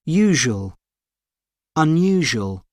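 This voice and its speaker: Man, slow and deliberate, dry recording, reads single vocabulary words as a pronunciation model. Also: a little high, big pitch swings, a little mellow, dry recording. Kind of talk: isolated word pairs read for learners.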